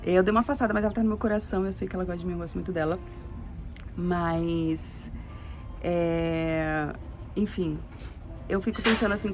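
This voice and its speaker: A young adult Brazilian woman telling a personal story in a casual, rambling way, restarting often and adding tag questions. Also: lowish voice. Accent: Brazilian Portuguese. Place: living in Sweden.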